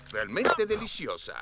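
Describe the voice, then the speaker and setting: A man's voice: on the high side, full character voice. A man voicing a jovial, polite, exuberant father beaver in a children's cartoon, with Latin American Spanish speech.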